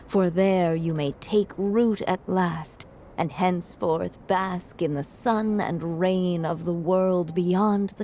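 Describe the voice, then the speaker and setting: A woman playing a solemn fairy tale storyteller in a video game, narrating gravely and deliberately. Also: fairly low pitch, dark tone. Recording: long reverb, faint music behind.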